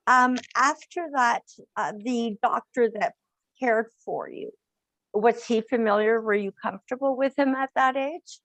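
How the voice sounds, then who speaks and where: Slow-paced older woman hosting, close dry sound. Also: on the high side, a bit bright, dry recording, low-quality recording. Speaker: host interviewing guests. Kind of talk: conversational interview.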